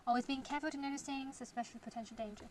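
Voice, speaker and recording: A woman's voice: sits high, steady tone, rapid-fire, a little hiss or hum. A young woman with a Cantonese accent, a writer chatting on her vlog, thinking aloud and sometimes dropping to a whisper. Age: young adult. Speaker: vlogger and novelist. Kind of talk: casual vlog, reading aloud.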